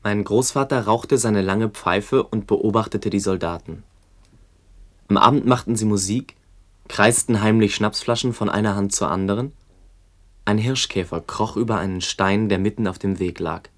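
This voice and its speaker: A man's voice: on the low side, normal speed. A man giving a sober, descriptive reading of literary memories for a radio documentary. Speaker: narrator.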